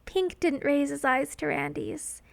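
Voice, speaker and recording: A woman narrates in a very high register. She reads scripted fan fiction as a storyteller, with a dramatic delivery. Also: measured pace, creaky.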